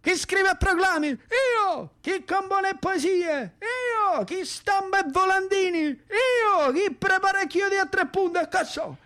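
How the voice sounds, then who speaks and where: A man's voice: very high-pitched, lively intonation, slow pace, hardly any pauses, sharp tone, voice pushed up, heavily acted. A man playing an eager, boastful young would-be partisan in radio theatre, whining insistently.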